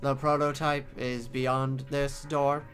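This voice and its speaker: Someone voicing an obedient feline robot in a visual novel game. The delivery is low-pitched, stilted and monotone, formal and literal, with music playing behind it. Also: takes it slowly. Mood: flat, obedient. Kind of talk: visual novel read-aloud, in character.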